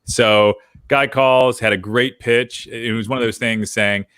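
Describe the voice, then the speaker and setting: A man's voice: mid-range voice, fairly even intonation, unhurried, a bit bright, clean tone, no echo. An American man in his thirties chatting casually as a podcast host, telling things in an informal, anecdotal way into a close microphone. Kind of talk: casual chat. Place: indoor room with brick wall. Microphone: close desk microphone on a video call.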